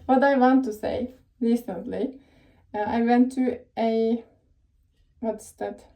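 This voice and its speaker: Young Turkish-accented woman, very mellow tone. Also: on the high side, steady tone, slow, vocal fry. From Turkey. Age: young adult. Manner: rambling, self-correcting, chatty with frequent fillers. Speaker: tech professional giving advice. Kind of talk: casual advice vlog.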